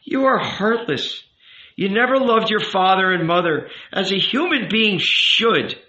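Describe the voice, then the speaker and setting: High, pleading old rabbi played by a man. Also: expressive, measured pace, bright, forceful tone, full character voice. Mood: anguished reproach. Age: older. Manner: earnest, coaxing, emphatic. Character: old rabbi uncle, tender, pleading, gently commanding confessor. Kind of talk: dramatic audiobook character reading. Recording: roomy, echoing, slightly dull sound.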